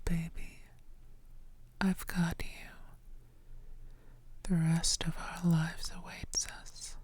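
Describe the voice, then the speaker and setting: A woman playing an ancient, seductive female vampire, lovestruck and possessive, murmuring tenderly in breathy tones and whispers in an intimate ASMR audio roleplay. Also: sits low, a little mellow, exaggerated voice. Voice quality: breathy, often dropping to whispers.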